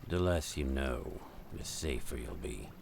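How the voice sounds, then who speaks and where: A very deep, gravelly old man, grim and secretive, as a man acts him out, delivering slow warnings in a radio play. His words drag heavily, and a clear layer of noise runs beneath them. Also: expressive, heavily acted. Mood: ominous. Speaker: voice actor. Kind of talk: radio drama acting.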